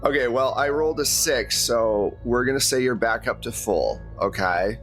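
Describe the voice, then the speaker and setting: A man's voice: mid-range voice, normal speed, fairly bright tone, soft background music. A man working as game master, recapping and narrating a tabletop game in an informal, conversational way.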